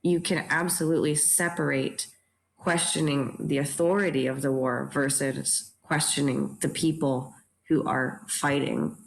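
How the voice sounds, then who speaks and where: A woman's voice: a bass voice, gravelly, rough recording. A woman speaking earnestly in a panel discussion, reflective and emphatic, often checking in with her listeners. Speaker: theatre director in a panel talk.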